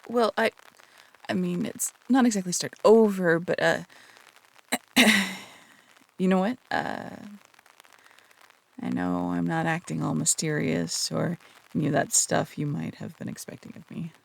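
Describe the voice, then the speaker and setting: Someone doing a flirty phantom thief for roleplay audio, super creaky n breathy, teasing, coy, bouncy pitch. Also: expressive, measured pace, pauses often, exaggerated voice, poor audio. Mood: earnest, hesitant. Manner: teasing, coy, casual with hesitant turns. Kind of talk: roleplay audio drama, intimate one-sided dialogue.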